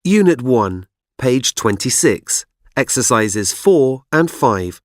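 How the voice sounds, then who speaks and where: Brief: male announcer for audio tracks, very slow and deliberate, lively intonation, dry studio sound. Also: mid-range voice, no room sound.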